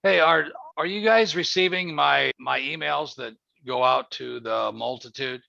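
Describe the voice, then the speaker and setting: A man's voice: mid-range voice, measured pace, gravelly, bright, forceful tone, no room sound. A man in his seventies hosting a casual call-in chat, talking in a folksy, rambling, emphatic way. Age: 70s. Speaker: host. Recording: muffled lo-fi audio with faint noise.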